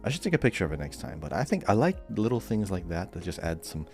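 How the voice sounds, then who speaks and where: A man with a low voice chats casually as co-host of a gaming podcast. His talk is conversational, and faint music plays underneath. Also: big pitch swings, fast talker, a little mellow.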